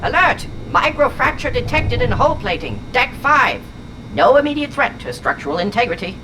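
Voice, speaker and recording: An unhinged, whimsical artificial intelligence from an audio drama. The delivery is theatrical and eccentric, with very lively, swooping intonation. A clear layer of sound-effect noise sits behind the voice. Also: female voice, fairly high pitch, normal speed. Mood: clipped, robotic. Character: whimsical, unhinged sentient shard voice inhabiting a robot.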